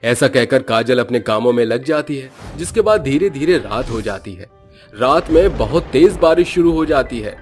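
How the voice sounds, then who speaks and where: Man, horror cartoon storyteller, scripted narration, medium pitch, music behind. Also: animated, normal speed.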